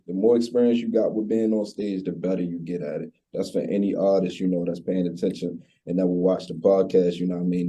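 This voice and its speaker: Dark, husky young man with Baltimore accent. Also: sits low, highs cut off. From Baltimore, USA. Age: 20s. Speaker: interview guest, recording artist. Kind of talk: casual chat.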